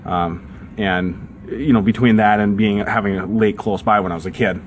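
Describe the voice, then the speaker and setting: A man's voice: fairly low pitch, fairly even intonation, normal speed, a little hiss or hum. A young man with a northern Minnesotan accent, co-hosting a podcast and telling stories in a casual, rambling way with many fillers. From southern United States. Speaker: podcast co-host. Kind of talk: casual chat.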